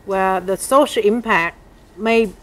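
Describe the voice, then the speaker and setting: A woman's voice: mid-range voice, takes it slowly. A woman interviewing a guest, putting short, direct questions to him. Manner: brief prompting questions.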